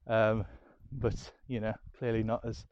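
Chatty Yorkshire man, mellow lo-fi. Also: fairly low pitch, no room sound. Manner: chatty, self-deprecating, many ums. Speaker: diary podcast host. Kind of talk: casual rambling chat. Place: home.

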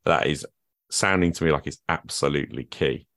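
This is a man with an extremely low, deep voice, hosting a podcast conversation. He talks reflectively and thinks aloud at length as he works through his ideas. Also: normal speed, a bit bright. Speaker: podcast host. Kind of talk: conversational interview.

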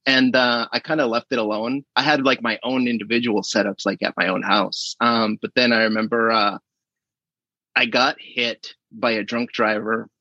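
Bright-voiced man in his late thirties. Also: medium pitch, moderate pace, no echo, dull audio. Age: late 30s. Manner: relaxed, rambling storyteller with many fillers. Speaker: podcast guest. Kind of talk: casual remote conversation.

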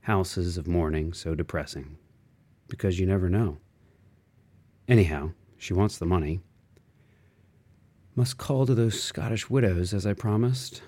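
A man reads literary fiction in a low, relaxed voice. His delivery is unhurried and musing, moving through fragmented thoughts with expressive shifts. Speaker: audiobook reader. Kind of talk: literary audiobook narration of interior monologue.

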